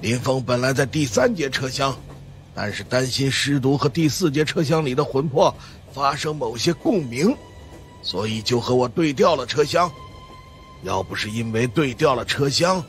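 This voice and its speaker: Stern older monk consultant for supernatural audiobook, played by a man; mid-pitched, grave, explaining with authority. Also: lively intonation, moderate pace, fairly bright tone, faint music behind, close to an everyday voice. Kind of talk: audiobook character acting.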